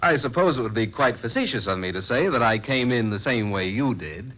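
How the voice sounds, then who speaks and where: An actor playing a mysterious, icily polite gentleman in 1940s radio horror, measured, formal and quietly menacing. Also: mid-range voice, lively intonation, close to an everyday voice. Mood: icily accusing. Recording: muffled 1940s radio recording.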